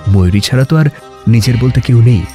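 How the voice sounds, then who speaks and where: A man narrating a scripted story dramatically, with emotive phrasing and pauses. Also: medium pitch, warm tone, soft background music.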